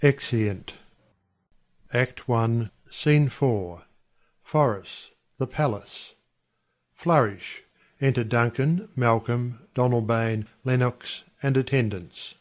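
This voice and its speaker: Man, scripted narrator, stage directions, very slow pace, medium pitch.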